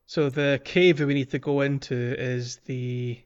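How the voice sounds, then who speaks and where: A man co-hosting a podcast, explaining a video game in a casual, halting way. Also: medium pitch, steady tone, smooth, muffled recording. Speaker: podcast co-host.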